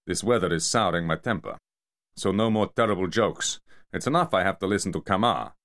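A man voicing a big, irritable Russian mafia enforcer who grumbles gruffly. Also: sits low, lively intonation, brisk, fairly bright tone. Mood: grumbling.

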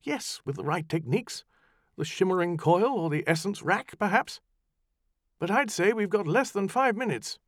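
A man voicing an impatient, imperious woman magician who speaks in brisk, commanding tones. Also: high-pitched, expressive, no echo, studio quality, voice pushed up.

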